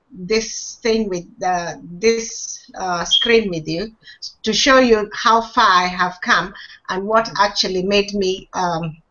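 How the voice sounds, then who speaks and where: A woman's voice: medium pitch, bright, forceful tone. A woman speaking hesitantly with many restarts on a live video call, sharing her experience. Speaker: online marketing member. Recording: dull lo-fi video call audio.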